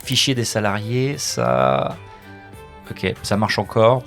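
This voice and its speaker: A man's voice: on the low side, sing-song, a bit bright, full character voice. Man playing a harried, sarcastic office administrator. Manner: sarcastic, halting. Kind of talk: audio comedy drama acting. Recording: faint music behind the voice.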